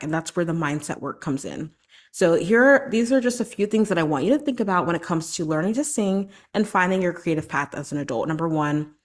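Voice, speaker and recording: Female vocal coach hosting a solo podcast; a little low in pitch, casual and encouraging, peppered with fillers. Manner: chatty and encouraging, frequent "like" and "right?".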